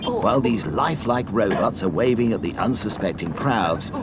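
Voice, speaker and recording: A man narrating a scripted read-along storybook in a deliberate, deadpan way. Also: fairly low pitch, very noisy.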